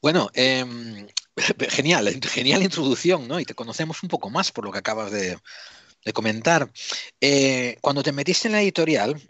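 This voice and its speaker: A man's voice: mid-range voice, lively intonation, normal speed, a bit bright, dry recording. A man interviewing a guest, hesitating with fillers while he frames his questions.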